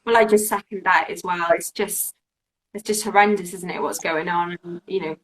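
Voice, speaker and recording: A woman's voice: fairly low pitch, fairly even intonation, moderate pace, a bit bright, slight background noise, poor audio. A woman from Wales, a guest on a live video-call interview, talking casually with many fillers and restarts. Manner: chatty, lots of fillers and restarts.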